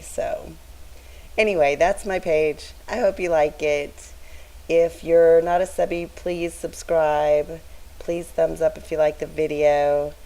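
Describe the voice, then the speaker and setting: A woman with a weak, raspy voice that sits low and mellow. She speaks slowly and softly, as if she has to strain to be heard. She chats casually over her crafting, like a host talking to her regular viewers. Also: low-quality recording. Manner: soft-spoken, chatty, straining to be heard. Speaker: scrapbooking channel host.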